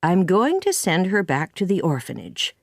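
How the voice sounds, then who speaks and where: A woman's voice: on the low side, wildly swooping pitch, normal speed, vocal fry, no echo, studio quality, exaggerated voice. A woman voicing a stern, practical middle-aged farm woman who speaks firmly.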